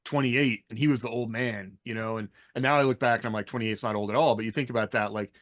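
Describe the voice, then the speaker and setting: A man's voice: medium pitch, fairly even intonation, quick pace, fairly bright tone, no echo, studio quality. An American man co-hosting a podcast in a casual, rambling, anecdotal way, peppering his talk with "like". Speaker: podcast co-host. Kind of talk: casual chat about military life and fiction.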